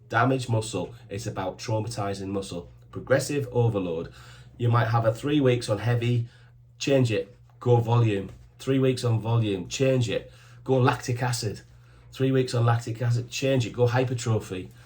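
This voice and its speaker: A man's voice: mid-range voice, a little mellow. A man in his fifties giving supplement and bodybuilding advice straight to camera, in short emphatic commands and an enthusiastic, plain-spoken way. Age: 50s. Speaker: supplement shop owner giving fitness advice.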